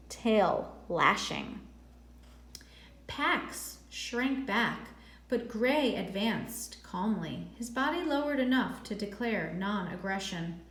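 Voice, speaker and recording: A woman reading a storybook aloud like a teacher, expressive and deliberate, stretching words for drama and adding brief explanatory asides. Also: medium pitch. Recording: echoey, roomy sound.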